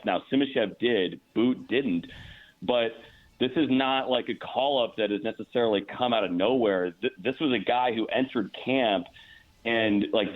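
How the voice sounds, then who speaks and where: An American man giving analysis as a guest announcer in a sports radio interview, thinking aloud with repeated words. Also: medium pitch. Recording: dull, narrow-band phone-like line.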